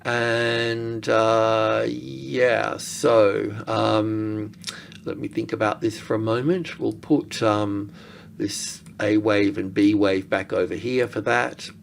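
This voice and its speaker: Male market analyst, lowish voice, informal chart walkthrough, halting think-aloud delivery, faintly noisy recording. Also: fairly even intonation, gravelly. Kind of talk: informal technical chart commentary.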